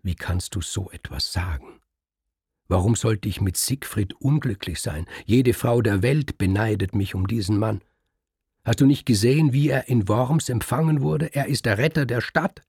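A man voicing Kriemhild, a proud, indignant queen who protests quickly and insistently. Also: lively intonation, a little mellow, husky, dry recording, studio quality, exaggerated voice. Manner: rising shrill protest, fast and insistent.

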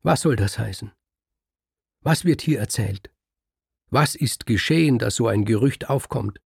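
A man voicing Kriemhild, a proud, indignant queen who protests quickly and insistently. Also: animated, vocal fry, mellow, slightly rough, no room sound, studio quality, heavily acted. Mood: outraged. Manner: rising shrill protest, fast and insistent.

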